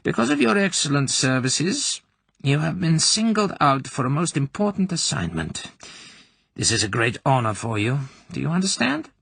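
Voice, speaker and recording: A man voicing a cold, domineering older female secret police colonel who talks in a smooth, commanding, falsely sweet way. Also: a little high, sing-song, normal speed, fairly bright tone, no echo, voice pushed up, full character voice.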